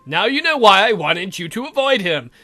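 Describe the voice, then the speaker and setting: A man voicing a dry, sardonic vampire count who speaks deliberately, with mock-polite menace, for a visual novel game. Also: sits high, big pitch swings, pushed and bright, soft background music, heavily acted. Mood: coolly commanding.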